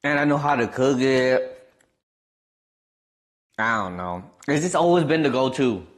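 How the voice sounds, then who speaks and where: A man's voice: mid-range voice, measured pace. A young man from Wisconsin with a Midwestern accent, chatting casually with his stream viewers, rambling with lots of fillers. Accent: Midwestern American. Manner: laid-back, rambling, lots of fillers, animated when amused.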